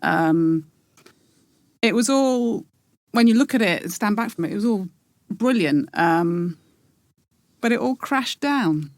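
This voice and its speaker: A woman in her forties, a podcast guest, talking in a reflective, halting way with many pauses and restarts. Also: mid-range voice, lively intonation, dry recording. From England. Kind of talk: casual interview.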